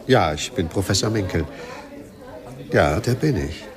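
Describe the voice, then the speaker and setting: An actor in a German radio drama playing a courteous, absent-minded older professor with a mild, halting, formal manner. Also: male voice, sits low, lively intonation, a crowd in the background. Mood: mild bemusement.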